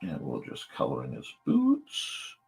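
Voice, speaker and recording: A man with a slightly croaky, strained voice chatting casually and goofily, half to himself, as he hosts a drawing stream. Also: rumbling low, huge pitch swings, unhurried, darkish tone, soft background music. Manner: goofy, rambling, half talking to himself. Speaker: cartoonist hosting a live art show. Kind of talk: casual chat while drawing.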